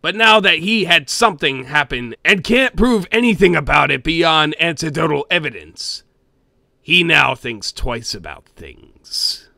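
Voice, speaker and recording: A man voicing a greedy, grumpy cartoon crab boss who reads a horror story in a theatrical, expressive way. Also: on the high side, measured pace, gravelly, dry recording, studio quality, exaggerated voice. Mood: storytelling. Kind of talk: dramatic creepypasta reading.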